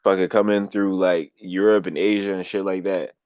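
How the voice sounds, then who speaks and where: Young guy on a podcast, lowish voice, cussing a lot, super blunt, dry room. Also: normal speed, clear, resonant tone, no room sound, muffled recording. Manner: blunt, profane, animated. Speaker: podcast co-host. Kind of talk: casual opinion chat.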